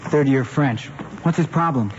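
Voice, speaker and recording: An actor playing an easygoing, slangy American teenage son in 1950s radio drama style. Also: male voice, medium pitch, a little slow, close to an everyday voice. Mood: breezy. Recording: muffled old radio recording with hiss.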